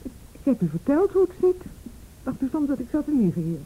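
A woman's voice: high-pitched, big pitch swings, fairly quick, dark tone, poor audio. An actress playing a defensive, frightened mother in a Dutch radio play, hesitant and evasive, with an indignant edge. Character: defensive, evasive, frightened mother shielding her abusive husband.

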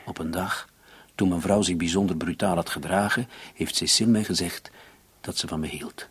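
An actor playing a defensive, irritable murder suspect in his late thirties, husky and breathy, in a radio drama. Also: low-pitched, fairly even intonation, normal speed, fairly bright tone. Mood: bitter confession. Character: defensive, irritated 37-year-old male murder suspect in love. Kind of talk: Dutch-language radio drama dialogue.

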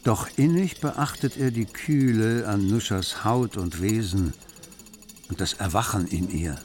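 An actor narrating a literary radio play as a storyteller. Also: male voice, on the low side, measured pace, natural voice.

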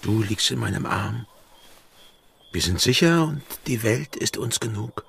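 Jack, tender easygoing pirate lover. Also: male voice, lowish voice, lively intonation, a little slow, gravelly, fairly bright tone, slight background noise, heavily acted. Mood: tender, reassuring. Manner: tender, intimate, hesitant. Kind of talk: German radio drama acting. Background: music.

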